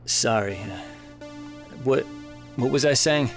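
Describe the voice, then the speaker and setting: An actor playing a confused young musician who cannot recall recent events. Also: male voice, mid-range voice, slow, gravelly, music in the background.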